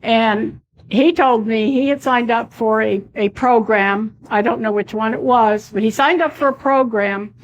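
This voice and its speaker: A woman's voice: a little high, measured pace, hardly any pauses, dull audio. A woman losing her voice as she talks at a public meeting in a conversational way, full of fillers.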